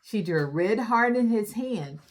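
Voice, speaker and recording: A woman hosts a read-aloud for young children at a slow, deliberate pace. She recaps the story in an instructive, encouraging manner. She often checks in with her little listeners by asking them questions. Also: medium pitch, animated, slight background noise.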